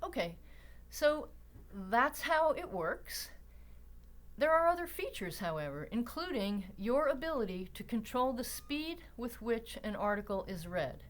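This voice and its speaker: A woman narrating an instructional screencast in a deliberate, explanatory way with emphatic pauses. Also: a little high, big pitch swings. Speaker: library tutorial presenter.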